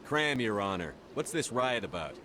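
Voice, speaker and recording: An actor playing a blunt, no-nonsense military commander in a science fiction game, terse and direct. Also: male voice, fairly low pitch, very animated, normal speed, background noise. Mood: brusque, challenging. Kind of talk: video game dialogue acting.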